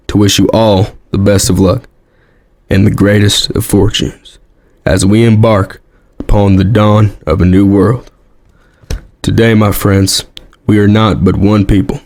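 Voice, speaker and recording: A man with an American accent playing a solemn president who delivers a measured, oratorical farewell address in an audio drama. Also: fairly low pitch, a little mellow, no echo, clean studio sound.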